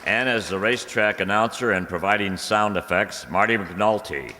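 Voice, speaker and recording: A man's voice: fairly low pitch, normal speed. A man announcing scripted credits as the announcer of a radio comedy show. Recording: echoey hall, crowd and clear noise.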